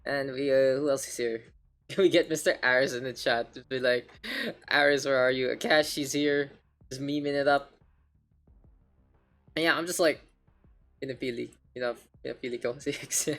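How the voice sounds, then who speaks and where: Chatty Filipino esports caster. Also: male voice, medium pitch, fairly even intonation, normal speed, pauses often, fairly bright tone. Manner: chatty, rambling, repeats himself. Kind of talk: casual live-stream casting.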